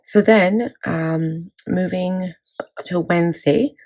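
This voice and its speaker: A woman in her thirties, hoarse from laryngitis, chatting casually about her planner with many fillers. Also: fairly low pitch, takes it slowly, a little mellow. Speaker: planning vlogger. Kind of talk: casual chat walking through a planner.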